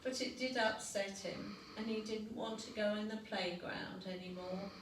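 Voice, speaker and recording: A woman reading a picture book aloud to young children as a storyteller, unhurried, expressive and gently dramatic. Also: mid-range voice. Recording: roomy, noisy recording, distant mic.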